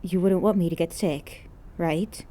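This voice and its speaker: A woman voicing a calm, polite, quietly teasing teenage boy in a story reading, measured and straight-faced. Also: fairly low pitch. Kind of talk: acted dialogue in fan fiction reading.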